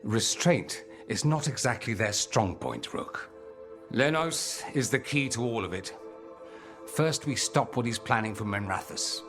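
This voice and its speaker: An actor playing an urbane, sardonic rebel mage-politician in a video game, eloquent and bold, with a theatrical delivery. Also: male voice, medium pitch, lively intonation, unhurried. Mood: wry, resolved. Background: background music.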